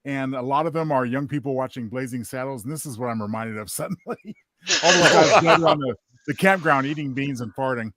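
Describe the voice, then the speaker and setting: A man's voice: medium pitch, a little fast, fairly bright tone, no room sound. A man commenting in casual chat with a wry, joking manner.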